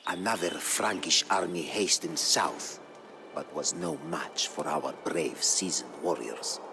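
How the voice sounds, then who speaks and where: A very deep old war chronicler. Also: male voice, animated, creaky, noisy background, full character voice. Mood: proud. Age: older. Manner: grave, measured storytelling. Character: aged solemn Umayyad chronicler of war campaigns, male. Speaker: narrator. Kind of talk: dramatic scripted storyline narration.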